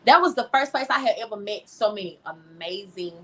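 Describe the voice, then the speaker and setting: A woman with a medium-pitched voice talks candidly as a guest in an interview. Her delivery is informal and expressive, and she repeats phrases to drive them home. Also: a little fast, husky. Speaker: interview guest, singer and songwriter.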